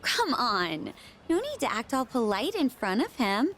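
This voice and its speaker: A woman's voice: on the high side, very animated, a little slow, fairly bright tone, faint music behind. A woman voicing a snide teenage mean girl in a video game, sarcastic and taunting. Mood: mocking. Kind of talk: video game character dialogue.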